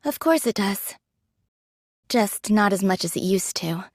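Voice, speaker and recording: A woman's voice: mid-range voice, lively intonation, a little slow, no room sound, studio quality. An actress playing a modest, pragmatic young female android soldier in an anime game, talking in a casual, thoughtful, matter-of-fact way.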